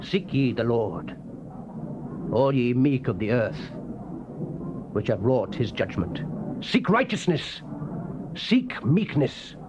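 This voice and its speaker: An actor playing a fiery prophet proclaiming God's wrath in solemn, archaic, declamatory scripture style. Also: male voice, medium pitch, sing-song, takes it slowly, pauses often. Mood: solemn, urgent. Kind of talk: dramatized scripture reading, archaic King James English. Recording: muffled, noisy old cassette tape.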